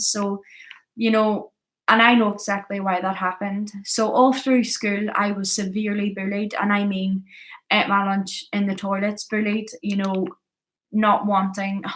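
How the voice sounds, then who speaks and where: A woman's voice: medium pitch, fairly bright tone, rough recording. A woman in her early thirties with a Belfast accent, candidly and earnestly telling her personal story, stressing points by repeating them. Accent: Belfast Northern Irish. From Belfast, Northern Ireland. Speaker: vlogger sharing a personal addiction story. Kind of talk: confessional personal storytelling, partly from notes.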